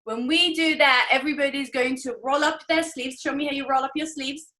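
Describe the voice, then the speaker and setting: A woman's voice: high-pitched, fairly even intonation, brisk, sharp tone. A woman teacher leading children through an online class assembly, giving instructions and prompting them to answer.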